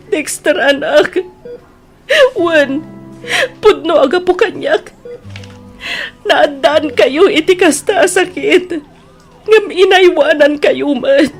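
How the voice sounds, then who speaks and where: A woman's voice: very high voice, exaggerated voice. A woman playing a loving, anguished mother in a radio drama, with a plaintive, emotional delivery. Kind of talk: tearful radio drama acting. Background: music.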